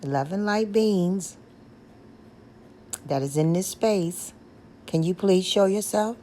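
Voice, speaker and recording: A woman with a deep, coarse voice, slowly and gently calling out to spirits on her front porch and then chatting casually with her viewers. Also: a little hiss or hum. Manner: gentle, pleading, repetitive calling out. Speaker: paranormal vlogger. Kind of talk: slow, hushed spirit invocations mixed with casual chat to viewers. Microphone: external microphone.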